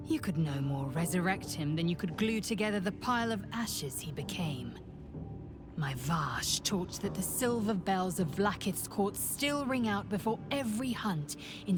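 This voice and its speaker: A stern, devout warrior woman from a fantasy game, speaks in a fairly low register. Her delivery is slow, formal and solemn, with proud scorn, and music plays behind her. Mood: scornful. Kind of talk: dramatic fantasy game dialogue.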